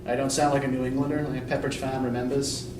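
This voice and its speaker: A man's voice: mid-range voice, flat delivery, fast, recorded from a distance, background noise. A young man from Eastern Pennsylvania teaching a college writing class, talking casually, rambling and self-deprecating, often checking in with "right?". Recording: echoey classroom recorded from afar.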